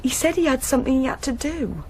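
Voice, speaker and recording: High-voiced, plain-spoken young woman. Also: expressive, fast talker, a little hiss or hum. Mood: subdued recounting. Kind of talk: radio drama dialogue.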